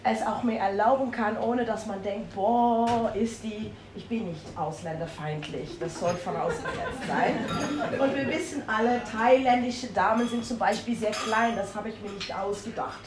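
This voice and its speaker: A woman's voice: medium pitch, moderate pace, noisy background. A woman with a Dutch accent reading a humorous story aloud, playful and self-mocking, with exclamations. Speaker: comic storyteller. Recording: roomy with faint ambience.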